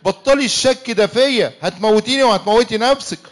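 An easygoing young husband with an Egyptian accent, played by a man in a radio drama who keeps protesting his innocence in exasperation. He talks very fast in a fairly high voice. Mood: exasperated. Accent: Egyptian Arabic. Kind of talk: church radio comedy-drama acting.

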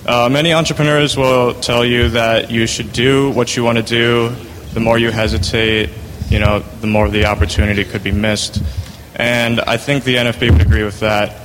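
A man who is a college senior, giving a casual, slightly halting speech at a convention. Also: medium pitch, little pitch movement, background noise.

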